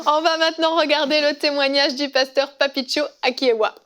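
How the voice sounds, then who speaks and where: High-voiced woman hosting a faith show. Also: fairly even intonation, brisk, music in the background. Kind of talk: hosting a talk show and interview.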